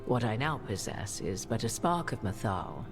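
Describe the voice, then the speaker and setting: A woman playing an imperious, enigmatic sorceress in a fantasy game, with archaic, measured and knowing speech. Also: rumbling low, big pitch swings, music in the background. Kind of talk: dramatic fantasy game dialogue.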